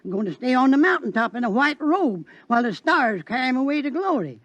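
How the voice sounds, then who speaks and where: A very high, reedy male cult believer in a 1950s radio play. He stammers and swells with rapture about his faith, his pitch leaping around. The sound is close and dry. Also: sing-song, quick pace, a bit bright, no echo, dull audio, heavily acted. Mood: zealous. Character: fervent old male cult believer, zealous. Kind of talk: 1950s radio drama acting.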